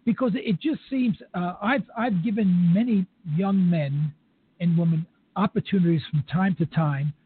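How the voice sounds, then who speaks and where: A man's voice: sits high, normal speed, slight background noise. Older man on a tinny phone line. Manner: opinionated, rambling, chuckles. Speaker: interviewer. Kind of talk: conversational interview.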